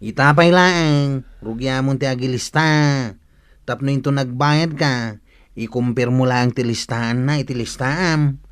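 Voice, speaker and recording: A man's voice: mid-range voice, unhurried, clear, resonant tone, natural voice. A man playing a plain-spoken village customer in a comedic radio drama, with a Northern Philippine accent and an indignant, explaining manner. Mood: advising, exasperated.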